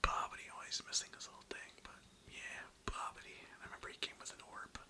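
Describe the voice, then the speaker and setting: A young adult ASMR creator whispering breathily in a casual, slangy, rambling way with some swearing. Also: quick pace. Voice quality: breathy, mostly unvoiced whisper. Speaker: ASMR video creator and host.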